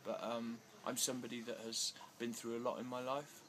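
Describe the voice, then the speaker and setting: A man's voice: medium pitch, little pitch movement, brisk, fairly bright tone. Hesitant man, noisy background. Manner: hesitant, many fillers and restarts, growing agitated. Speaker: interviewee. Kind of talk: casual interview answers. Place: outdoors in India.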